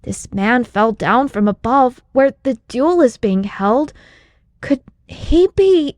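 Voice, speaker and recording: Woman as a young male disciple, raised pitch, eager, deferential, audiobook character, dry close sound. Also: expressive, slow pace, darkish tone, no room sound. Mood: hesitant, curious. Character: young male disciple, reverent yet excitable.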